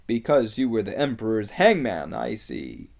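A man's voice: lowish voice, sing-song, normal speed, vocal fry, a little mellow, full character voice. A man voicing a blunt, indignant beaver in a storybook, with a homely, sardonic manner. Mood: scornful. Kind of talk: dramatic storybook reading.

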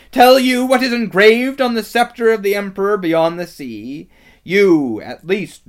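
A man voicing a savage, imperious witch queen in a storybook, shrill, forceful and declamatory. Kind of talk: dramatic storybook reading.

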